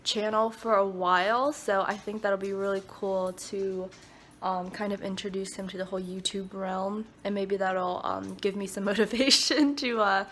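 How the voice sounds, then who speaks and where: A woman's voice: mid-range voice, fairly even intonation, normal speed, fairly bright tone, slight background noise. Chatty congested young woman vlogging. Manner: chatty and rambling, many ums and likes. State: stuffy and congested. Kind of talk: casual talk to camera while getting ready. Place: home bathroom. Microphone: phone camera.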